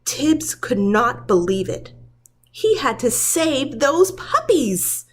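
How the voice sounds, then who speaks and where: Slow, lively woman reading a storybook. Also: high-pitched, husky. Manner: dramatic, deliberate storytelling for young children. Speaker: preschool teacher reading aloud. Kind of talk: expressive children's storybook read-aloud.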